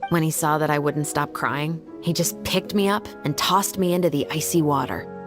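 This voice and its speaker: Woman playing earnest, low-voiced young patrol officer. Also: steady tone, close to an everyday voice. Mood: somber, reflective. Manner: earnest, measured, formal. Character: resolute young female special patrol officer, earnest and composed. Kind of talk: video game voice acting. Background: background music.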